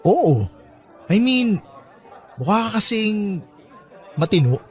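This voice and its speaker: Young male office worker, radio drama, higher-pitched, teasing, coaxing, music and crowd behind. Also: big pitch swings, heavily acted. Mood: teasing, amused. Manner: teasing, coaxing, hesitant pauses. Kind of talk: radio drama acting, conversational Tagalog.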